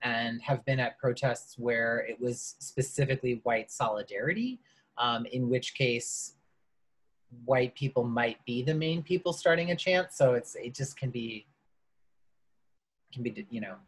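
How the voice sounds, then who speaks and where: A person from Wisconsin speaking loudly and enunciating every word clearly in an earnest workshop discussion on a video call. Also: mid-range voice, measured pace, low-quality recording. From Madison, Wisconsin, USA. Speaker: workshop participant.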